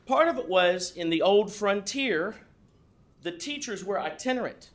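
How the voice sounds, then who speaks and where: A man, a past board chair, giving a formal tribute speech at a board meeting in a loud voice, explaining at length. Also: sits high, animated, measured pace. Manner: explanatory, storytelling tone.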